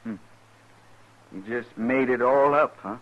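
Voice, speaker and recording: A man's voice: mid-range voice, takes it slowly, close to an everyday voice. An actor playing a humble, puzzled frontier man in a 1950s radio western, folksy, hesitant and deferential. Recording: muffled 1950s radio recording.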